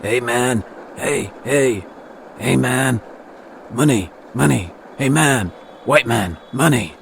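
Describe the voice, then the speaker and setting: A man's voice: mid-range voice, takes it slowly, vocal fry, slight background noise, pitched above the performer's own voice. Man acting an insistent street beggar, raised voice. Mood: insistent begging. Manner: repetitive, pleading calls.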